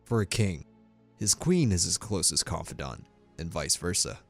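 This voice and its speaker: A man playing a stern, dignified king and demanding father in a fantasy audio drama, speaking formally with firm, quiet authority. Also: low-pitched, animated, moderate pace, vocal fry, faint music behind. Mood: grave, instructive.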